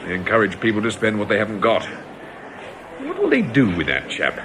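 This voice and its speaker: An actor in a radio drama playing a chatty, opinionated, old-fashioned customer who muses and grumbles. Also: male voice, fairly low pitch, huge pitch swings, brisk, background noise. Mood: chatty, disapproving.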